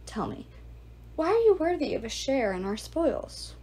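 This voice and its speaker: An arrogant male ghoul sneers and mocks those around him, as a woman reading aloud puts him on. His voice sits fairly high and swings widely in pitch. The delivery drips with contempt. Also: big pitch swings, measured pace, mellow. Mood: sneering. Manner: mocking, contemptuous.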